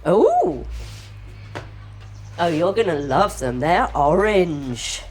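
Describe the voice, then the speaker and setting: A woman's voice: on the low side, very animated, extremely slow, a little mellow, slight background noise. A woman co-hosting a casual vlog, unboxing a product with enthusiastic exclamations. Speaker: vlog co-host.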